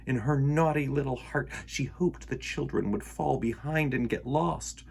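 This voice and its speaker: A man telling a children's story in an animated, theatrical way, acting out the sound words. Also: mid-range voice, measured pace, gravelly, slight background noise.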